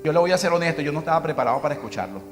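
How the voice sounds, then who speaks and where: Fast-talking Venezuelan pastor preaching. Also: male voice, fairly high pitch, expressive, soft background music. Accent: Venezuelan Spanish. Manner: storytelling, quoting his wife in dialogue. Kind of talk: sermon with personal story.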